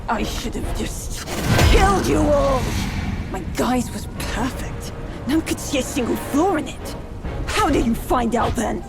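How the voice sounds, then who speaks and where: A vain, cruel demoness taunts slowly and theatrically. Her fairly high voice swings widely. A loud cinematic score plays beneath. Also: expressive. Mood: taunting. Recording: game cutscene with loud music.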